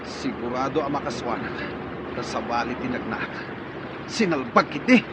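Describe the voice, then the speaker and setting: A man's voice: mid-range voice, expressive, normal speed, very noisy, natural voice. An actor with an Ilocano accent playing an agitated, quarrelsome man in an emergency, urgent and argumentative, in a radio drama. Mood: irritated, quarrelsome.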